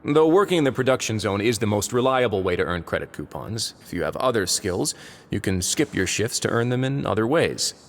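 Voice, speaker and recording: An actor playing a composed, formal prison fortress administrator with dry wit in a video game. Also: male voice, fairly low pitch, sing-song, fairly quick, a little hiss or hum. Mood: composed, matter-of-fact.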